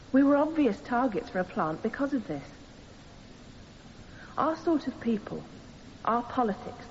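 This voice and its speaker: Woman playing young activist defending herself in court, deliberate, middle pitch, big echoey room, bit of hiss. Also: darkish tone, natural voice. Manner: earnest, deliberate, defiant. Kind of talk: radio drama courtroom speech. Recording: muffled, hissy, long hall reverb.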